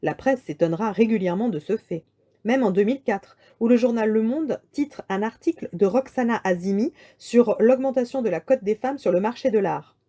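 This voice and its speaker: A woman reading a scripted lecture in a measured, articulate way with deliberate emphasis. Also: a little high, dark tone. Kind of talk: scripted lecture read aloud.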